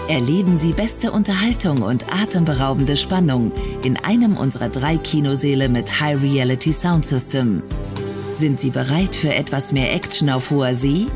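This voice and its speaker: An actress in a radio drama playing a polished, enticing cruise-ship announcer reading promotional patter. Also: female voice, sits low. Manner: smooth sales patter.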